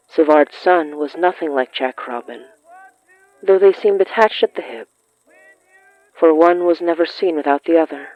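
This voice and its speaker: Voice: medium pitch, no room sound. Muffled story narrator over music.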